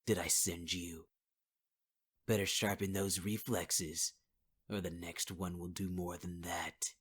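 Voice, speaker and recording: A man's voice: sits low, level tone, slow pace, fairly bright tone, breathy edge. A man performing a possessive male villain in an ASMR audio roleplay, speaking close to the mic in a taunting, half-whispered voice and calling the listener sweetheart. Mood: menacing.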